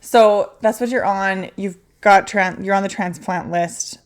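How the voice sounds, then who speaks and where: A woman's voice: mid-range voice, steady tone, unhurried. A woman hosting a podcast, steering the talk with conversational questions. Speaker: podcast host. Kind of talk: casual interview.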